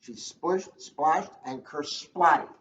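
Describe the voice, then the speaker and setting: A man's voice: on the high side, drawn-out words, a bit bright, roomy sound. A man, a police officer, reading a children's storybook aloud in a casual, informal style. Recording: dull lo-fi audio, faint noise.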